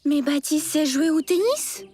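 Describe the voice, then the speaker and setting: An earnest little child from a children's cartoon, with an extremely high voice. The kid is eager yet sensible, and music plays clearly underneath. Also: female voice, lively intonation, a little slow, dark tone. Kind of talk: French children's animated series dubbing. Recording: music behind the voice.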